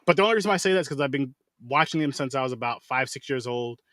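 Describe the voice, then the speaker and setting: A man hosting a podcast in casual chat, talking quickly and swearing freely. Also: medium pitch, dry recording, clean studio sound. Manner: rapid, animated, swears freely. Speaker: podcast host.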